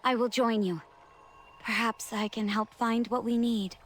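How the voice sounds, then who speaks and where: A woman's voice: on the high side, steady tone, measured pace. A woman voicing a kind, earnest young female companion in a fantasy game, polite and sincere.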